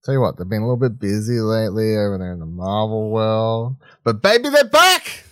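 Low, animated man bantering casually. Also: a little fast, no echo, studio quality. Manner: informal, slangy, swearing. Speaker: podcast co-host.

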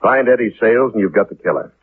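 An actor playing a pompous, eager-to-please city commissioner in a 1950s radio drama, formal, officious and emphatic. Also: male voice, lowish voice, expressive, fast, natural voice. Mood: emphatic, uneasy. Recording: tinny, noisy 1950s radio recording.

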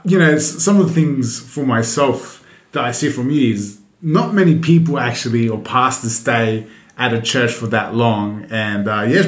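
A man hosting a casual podcast interview, relaxed and jokey, laughing easily. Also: medium pitch, echoey room. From Central America. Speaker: podcast host.

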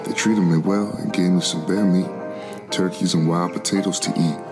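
An actor playing a weary, determined man who escaped slavery in the 1700s, narrating his flight in a measured, solemn stage style. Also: deep voice, steady tone, over music, lightly acted. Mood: reflective. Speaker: narrator in a gospel oratorio. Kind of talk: dramatic scripted first-person narration.

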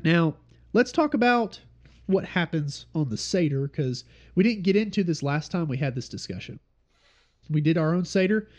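A man's voice: fairly high pitch, animated, moderate pace. A man leading an informal Bible study, explaining steadily and repeating key points, with a congested, stuffy nose.

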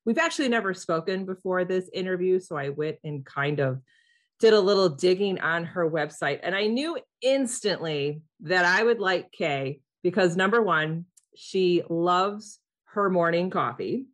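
A woman's voice: on the low side, lively intonation, unhurried. Upbeat, chatty podcast host woman. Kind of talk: podcast interview.

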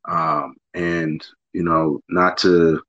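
A low-voiced man speaks at an unhurried pace, working through his thoughts with plenty of hedging. His audio is dull and lo-fi, with no room echo. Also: flat delivery, a bit bright. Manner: slow, searching, hedging. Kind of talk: casual live show discussion.

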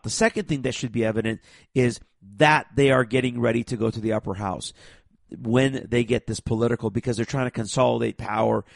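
Raspy man with a cold, gravelly. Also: mid-range voice, dry recording. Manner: forceful, with heavy stressing and stammered restarts. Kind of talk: emphatic monologue to viewers.

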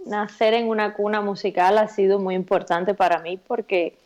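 A Cuban woman with a Cuban Spanish accent talks through her musical life in a relaxed, reflective way. She often restarts and repeats words as she thinks, and she laughs easily. Her voice sits at a middle pitch for a woman. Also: moderate pace, dull audio. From Cuba. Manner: thoughtful, repeats words while searching for them, laughs easily. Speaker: singer-songwriter guest. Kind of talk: relaxed interview chat.

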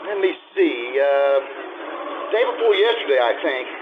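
An actor playing an easygoing, helpful cab driver in 1950s radio drama style, casual and pondering. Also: male voice, mid-range voice, animated, unhurried, close to an everyday voice. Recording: tinny noisy stage recording.

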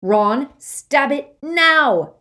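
A woman voicing a determined teenage boy, urgently shouting commands. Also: sits high, lively intonation, drawn-out words, pitched above the performer's own voice, exaggerated voice.

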